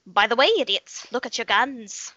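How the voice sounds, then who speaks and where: A woman's voice: on the high side, moderate pace, pushed and bright, no room sound, dull audio. A woman voicing a foul-mouthed, mocking leprechaun villain who taunts with exasperated menace.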